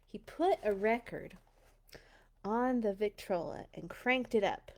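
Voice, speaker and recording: A woman reading a children's storybook aloud, dramatic and expressive, with little personal asides. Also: mid-range voice, slow pace. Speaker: storytime reader.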